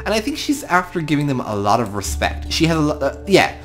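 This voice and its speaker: Irish storyteller with a very lively pitch. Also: a little fast, faint music behind. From Ireland. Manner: talks affectionately to game creatures. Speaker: gaming video host. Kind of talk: improvised storytelling game commentary.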